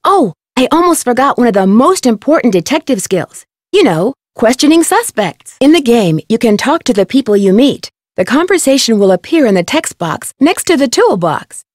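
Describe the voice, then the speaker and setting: A woman's voice: fairly high pitch, big pitch swings, moderate pace, hardly any pauses, no room sound, clean studio sound. A woman voicing a plucky, curious young woman detective in scripted lines for an adventure game. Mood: friendly, instructive.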